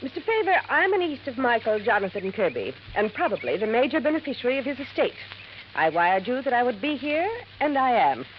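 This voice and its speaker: A prim, imperious heiress and academic in an old radio mystery. Her voice is fairly high and very lively in pitch, formal and demanding, on a dull old recording. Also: a little fast, a little hiss or hum. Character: haughty, demanding, prim female college toxicologist heiress. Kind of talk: 1950s radio drama acting.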